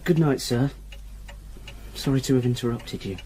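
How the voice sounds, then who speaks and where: An actor playing a jolly, polite upper-class young English stepson in a radio drama, with a husky, teasing, eager delivery. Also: male voice, mid-range voice, normal speed, very noisy, close to an everyday voice. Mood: deflated, polite. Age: young adult.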